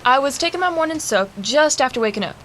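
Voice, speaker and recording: A woman acts a proud, impatient ex-wife in a radio play murder mystery. The voice is somewhat high and snappish with indignation. Faint ambient noise sits behind it. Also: lively intonation, fast, fairly bright tone. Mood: defensive. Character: haughty, impatient wealthy ex-wife, a murder suspect.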